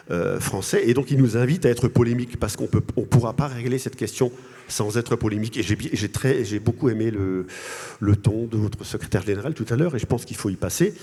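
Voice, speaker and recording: A man from Alsace, a native Alsatian speaker, making his case as a panel guest in a halting, repetitive way. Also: fairly low pitch, dark tone, breathy edge. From Alsace, France. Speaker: guest speaker, language association president. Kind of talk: panel interview, advocating argument with anecdotes. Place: congress hall. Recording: echoey large hall.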